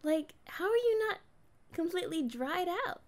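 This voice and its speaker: A young woman playing a kind, curious but guarded mermaid in a conversational ASMR roleplay, chatting casually with hesitant pauses. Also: extremely high pitch, expressive. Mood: curious, teasing.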